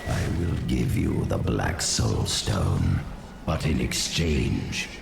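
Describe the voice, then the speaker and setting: An actor playing an ancient, treacherous undead sorcerer in a fantasy game, with a rasping voice and a slow, theatrical, menacing manner. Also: male voice, a bass voice, sing-song, heavily acted. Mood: sly bargaining. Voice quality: very gravelly, rasping. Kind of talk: fantasy video game voice acting. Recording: loud music underneath. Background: music.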